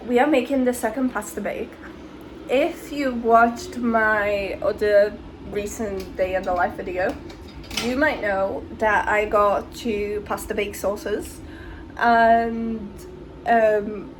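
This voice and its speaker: A woman's voice: on the high side, a little hiss or hum, poor audio. A woman of twenty-two from Hungary, talking casually to camera as a lifestyle vlogger, informal and chatty, peppering her talk with small filler words.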